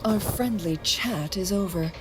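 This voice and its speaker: A woman's voice: medium pitch, a little slow, close to an everyday voice. An actress playing a poised, confident woman strategist in a video game, composed and coolly commanding with a teasing edge. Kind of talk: dramatic video game voice acting.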